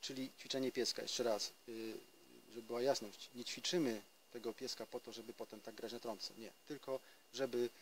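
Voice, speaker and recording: A man's voice: medium pitch, fairly even intonation, moderate pace, a little hiss or hum, rough recording. Man teaching outdoors in a forest. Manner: explanatory, with hesitations and restarts. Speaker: trumpet teacher. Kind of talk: casual spoken lesson.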